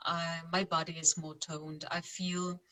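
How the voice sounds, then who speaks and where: A woman from Austria, a guest sharing her experience over a video call, earnest and halting, repeating words as she searches for them. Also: on the low side, level tone, bright, forceful tone. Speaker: guest, former program participant.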